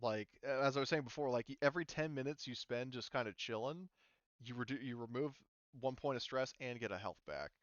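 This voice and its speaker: A man with a smooth, clear voice runs a tabletop game. He talks casually and haltingly, often rephrasing as he explains rules. The sound is dry and slightly dull. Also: mid-range voice, dry recording. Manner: informal, halting, often rephrasing mid-sentence. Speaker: game master.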